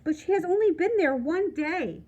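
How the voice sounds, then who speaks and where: An anxious, well-mannered boy from a children's book, read by a woman in a high voice. He sounds earnest and hesitant, careful with every adult he addresses. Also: expressive, moderate pace, a little mellow, lo-fi sound. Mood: worried. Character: polite, anxious boy narrator of a children's novel.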